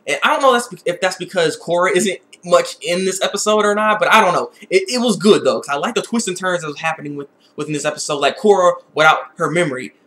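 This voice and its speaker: A man giving a casual, opinionated episode review in an excitable, rambling way that often repeats words. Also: sits high, fast talker, hardly any pauses.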